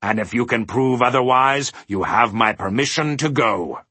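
A man's voice: medium pitch, moderate pace, husky, no echo. A man voicing a bombastic, stubborn mathematician from a storybook, declaiming with great emphasis. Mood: thundering, defiant. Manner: emphatic, declamatory, swinging from bellows to ominous hush. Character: bombastic, stubborn mathematician ruler, thundering and ominous.

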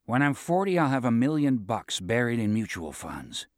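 A man's voice: medium pitch, big pitch swings, normal speed, dry recording, clean studio sound, natural voice. A man voicing a brash, greedy male lawyer who needles and swears bluntly in an audiobook. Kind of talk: audiobook dialogue reading.

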